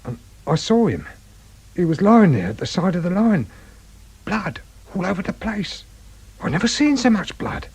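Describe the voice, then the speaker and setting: An actor playing a muddled, frightened suspect in a radio drama, halting and pleading, with an English accent and a husky, rough voice. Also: male voice, sits high, sing-song, darkish tone, full character voice, poor audio. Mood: anxious confessing. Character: frightened, muddled male petty suspect confessing to a killing.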